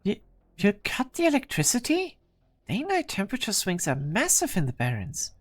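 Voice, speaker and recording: A worried young engineer pleads with rising concern, her pitch swinging lively and expressive, voiced by a woman. Her tone is anxious and earnest. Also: moderate pace.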